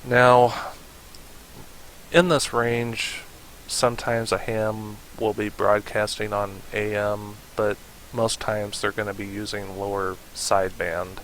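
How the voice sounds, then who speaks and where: A man narrating an unscripted software tutorial in an informal, hesitant way, as if thinking aloud. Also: fairly low pitch, flat delivery, slow pace, rough recording. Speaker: tutorial presenter. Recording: hissy home voice-over recording.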